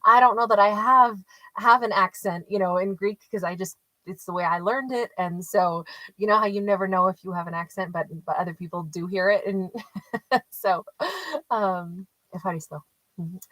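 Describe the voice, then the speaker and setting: A woman's voice: medium pitch, fairly even intonation, normal speed, pushed and bright, no room sound, low-quality recording. A chatty woman tarot storyteller who talks casually with live viewers and laughs often. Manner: rambling with frequent restarts and fillers. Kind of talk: casual livestream reading and chat with viewers.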